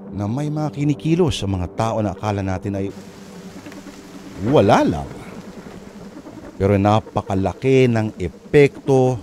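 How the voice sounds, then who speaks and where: A man's voice: lowish voice, noisy background. A man hosting and narrating a radio drama in a theatrical, suspenseful storytelling style. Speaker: host and narrator. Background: music.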